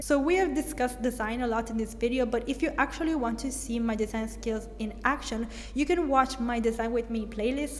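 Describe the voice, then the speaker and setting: A woman's voice: fairly high pitch, a little mellow, long reverb tail, slight background noise. A young woman from Italy with an Italian accent, chatting candidly in a Q&A vlog about her design business, speaking English as a second language. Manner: candid, chatty, with frequent "like" fillers. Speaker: brand designer and content creator. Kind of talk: casual Q&A vlog.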